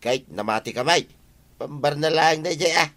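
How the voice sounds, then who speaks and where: A man's voice: fairly high pitch, normal speed, a bit bright. An actor playing a nagging, suspicious village man in an Ilocano radio comedy drama, with an Ilocano accent.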